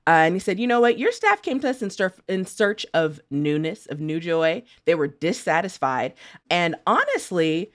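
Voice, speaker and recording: A woman's voice: lowish voice, big pitch swings, moderate pace. A woman podcast contributor chatting casually in slang, theatrically acting out what others said. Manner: theatrical, acts out paraphrased quotes. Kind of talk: casual, slangy storytelling chat.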